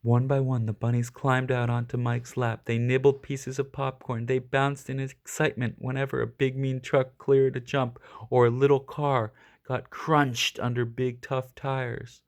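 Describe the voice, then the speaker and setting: A man reading a children's picture book aloud as an expressive storyteller. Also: mid-range voice, a little slow, hardly any pauses. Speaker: storybook reader.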